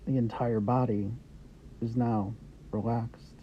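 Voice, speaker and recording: Slow-talking man guiding a meditation. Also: medium pitch, level tone. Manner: gentle, unhurried instruction with pauses between short phrases. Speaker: meditation guide. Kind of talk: guided meditation, Yoga Nidra. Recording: dull lo-fi audio with background noise.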